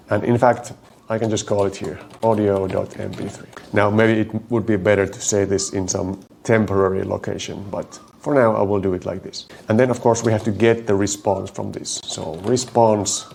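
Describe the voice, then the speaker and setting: A man's voice: on the low side, creaky, darkish tone, a little hiss or hum. Finnish-accented man thinking aloud over code. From Finland. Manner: thinks aloud while typing, slows to spell out code. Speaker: coding tutorial host. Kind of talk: live coding walkthrough.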